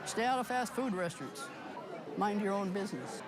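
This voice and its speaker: A woman's voice: medium pitch, animated, normal speed. A hundred-year-old woman from Minnesota, chatting and reminiscing in a wry, plain-spoken way. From Minnesota, United States. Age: 100 years old. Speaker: birthday celebrant being interviewed. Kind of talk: casual interview reminiscing. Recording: loud crowd at a party.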